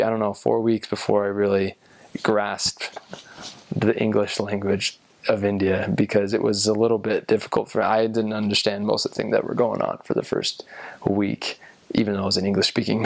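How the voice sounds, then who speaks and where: A young man and former missionary talking casually to the camera, rambling and halting with lots of ums. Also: on the low side, creaky, dry recording. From United States. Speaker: returned missionary.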